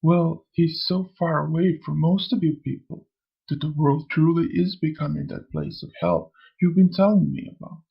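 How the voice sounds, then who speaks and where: A man voicing a sly, amused old spirit in a motion comic, lecturing theatrically in a gravelly, husky voice. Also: a little high, fairly even intonation, normal speed, muffled recording. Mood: preaching. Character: sly, amused old male spirit who preaches and taunts. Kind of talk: scripted motion comic dialogue.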